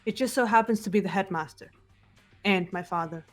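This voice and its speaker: A woman's voice: medium pitch, brisk, soft background music, natural voice. A woman playing a composed, reserved teenage girl with a bitter edge in a video game readthrough. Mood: coolly bitter. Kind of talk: amateur game voice acting.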